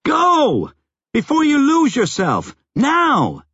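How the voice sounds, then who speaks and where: A man's voice: very high voice, huge pitch swings, slow, pushed and bright, no room sound, muffled recording, voice pushed up, heavily acted. A man voicing a tough, blunt adventurer woman, urgent and commanding, in an audiobook. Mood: commanding, yelling. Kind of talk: audiobook character acting.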